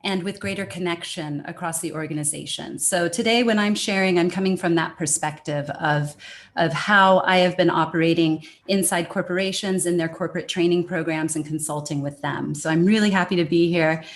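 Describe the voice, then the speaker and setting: A woman's voice: on the low side, brisk. Upbeat woman from the USA. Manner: enthusiastic and upbeat. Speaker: panelist, communication consultant. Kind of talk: self-introduction on a panel.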